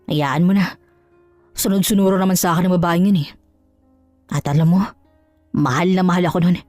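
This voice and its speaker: A woman's voice: fairly low pitch, steady tone, moderate pace, a little mellow, no room sound, voice pushed down, lightly acted. A woman voicing a smug, lazy, jobless husband who boasts and jeers. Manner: smug, scornful. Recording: dry, faint music underneath.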